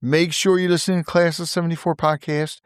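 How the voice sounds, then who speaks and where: A man's voice: a little high, level tone, fairly quick, smooth, no echo. Enthusiastic male podcast host, dry sound. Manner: eager, enthusiastic, reflective when wrapping up. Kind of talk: interview and closing monologue.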